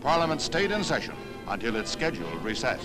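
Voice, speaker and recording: A man's voice: mid-range voice, big pitch swings, fairly quick. A man with an American accent narrating a 1960s newsreel in a formal, declarative announcer style. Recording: 1960s newsreel soundtrack with music. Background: music.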